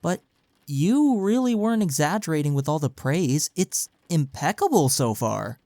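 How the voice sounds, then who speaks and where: A man's voice: fairly high pitch, expressive, normal speed, warm tone, no room sound. Man acting as young college best friend, close dry sound. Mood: playful, chatty. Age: young adult. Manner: casual, earnest, playful. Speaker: audio roleplay voice actor. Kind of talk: intimate audio roleplay acting, one-sided conversation.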